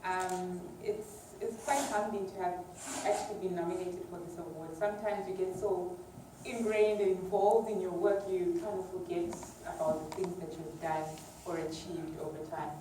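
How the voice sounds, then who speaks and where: A woman's voice: fairly low pitch, fairly even intonation, moderate pace. Woman lecturing in a very echoey hall. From Uganda. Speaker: academic researcher giving an award lecture. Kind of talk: public lecture, semi-informal. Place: large echoey lecture room. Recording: distant mic, very echoey hall, clear background noise.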